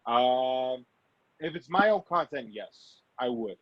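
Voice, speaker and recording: A man's voice: mid-range voice, expressive, slow pace, no echo. Man rambling casually, dull lo-fi sound. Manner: rambling, repeats and restarts phrases. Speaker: guest actor and filmmaker. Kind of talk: casual interview talk. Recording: dull lo-fi audio.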